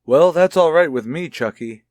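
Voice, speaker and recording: An actor playing a kindly child psychologist in a mid-century radio soap opera, speaking in a measured, coaxing way. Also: male voice, a little high, expressive, dry recording, natural voice. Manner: measured, coaxing, gently probing. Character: kindly, probing male child psychologist, a father. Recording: vintage radio recording with music.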